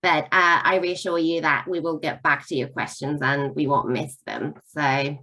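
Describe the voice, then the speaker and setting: A woman with a slightly low voice opening an online webinar as a coach. She talks in a friendly, chatty way, with plenty of small fillers between her thoughts. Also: flat delivery, normal speed, low-quality recording. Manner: warm, chatty, lots of fillers. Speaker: coach and psychologist presenter. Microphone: video call mic.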